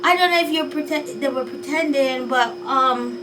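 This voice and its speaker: A woman's voice: high-pitched, moderate pace, distant mic, background noise. A Jamaican woman vlogging in a chatty, unsure way, sighing as she thinks things over. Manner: chatty, musing and unsure, with sighs. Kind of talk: casual talk to camera.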